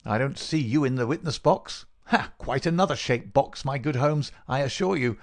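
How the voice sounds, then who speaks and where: A man's voice: mid-range voice, expressive, normal speed, pitched above the performer's own voice, close to an everyday voice. A man voicing a cruel, mocking villain in a storybook reading, gloating and taunting with pointed questions. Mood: gloating menace. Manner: taunting, insistent, rhetorical questioning.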